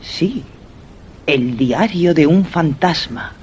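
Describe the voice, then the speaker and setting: An actress with a Castilian Spanish accent playing a playful, evasive wife in a suspense radio drama. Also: female voice, deep voice, animated, slow pace, a little mellow, lo-fi sound, a little hiss or hum. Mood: puzzled.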